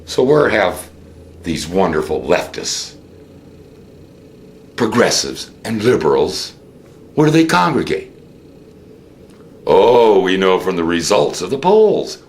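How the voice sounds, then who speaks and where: An American man giving an unscripted political monologue, theatrical in manner, with rhetorical questions and drawn-out emphasis. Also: sits low, very animated. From United States. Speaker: host of a political commentary show.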